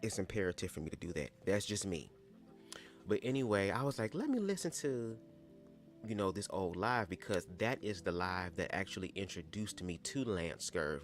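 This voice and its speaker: A young man hosting a casual livestream, with a calm voice and chatty, sassy asides to his viewers. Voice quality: calm low voice. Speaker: livestream host. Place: home, squeaky old chair.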